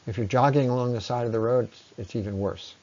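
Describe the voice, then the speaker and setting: A man giving an explanatory slide presentation as a lecturer, often pausing mid-sentence as he explains. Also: medium pitch, a little mellow, lo-fi sound.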